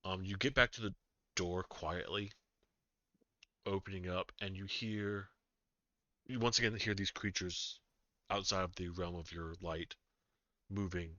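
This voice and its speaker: A man narrating a tabletop game as game master, halting with many ums and restarts. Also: sits low, pushed and bright, no room sound. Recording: dull lo-fi mic.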